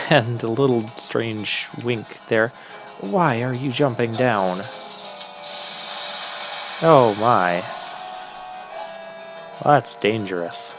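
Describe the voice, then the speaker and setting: The unhurried guy on a gaming channel, feeling his way through each explanation while the game's soundtrack plays on behind him. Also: medium pitch. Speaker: gamer narrating a playthrough. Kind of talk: casual game commentary. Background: video game music.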